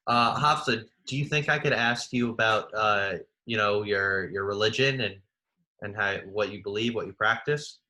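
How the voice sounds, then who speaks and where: Young male podcast host, curious interviewer, bright lowish voice. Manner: curious, friendly questions, quick filler-laden delivery. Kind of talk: casual interview chat.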